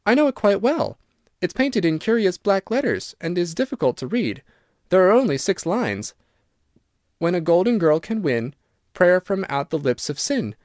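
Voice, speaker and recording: A man's voice: high-pitched, very animated, moderate pace, no echo, clean studio sound, pitched above the performer's own voice. A man voicing an earnest, kind and slightly pert young girl in a storybook. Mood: eager. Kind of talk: storybook narration character.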